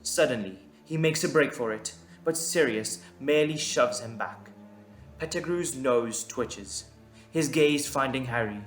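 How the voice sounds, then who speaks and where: A man's voice: mid-range voice, unhurried. A man narrating a scripted audio drama in a storytelling style. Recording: roomy echo, background music.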